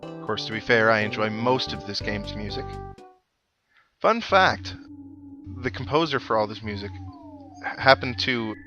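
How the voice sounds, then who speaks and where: A man's voice: lowish voice, normal speed, pauses often, muffled recording. A man giving casual, chatty video game commentary with wry asides, as the host of a let's play. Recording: game music playing behind the voice. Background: video game music.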